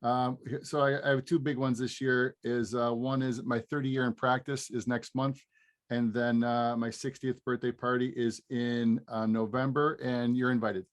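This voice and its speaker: A man in his fifties hosts a casual interview podcast at an everyday middle pitch. He talks in a loose, rambling way, restarting phrases as he goes. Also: flat delivery, moderate pace. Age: 50s. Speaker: podcast host.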